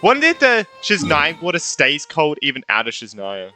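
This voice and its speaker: A man's voice: on the high side, wildly swooping pitch, moderate pace, sharp tone, faint music behind. A man giving casual gameplay commentary, informal and slangy, thinking aloud as he reacts. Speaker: gaming YouTuber.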